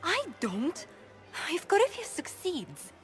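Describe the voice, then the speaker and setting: An actress playing an earnest, sharp diplomatic adviser in a video game, brisk and emphatic. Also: female voice, very high voice, huge pitch swings, soft background music, heavily acted. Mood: concerned, urgent. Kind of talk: video game dialogue acting.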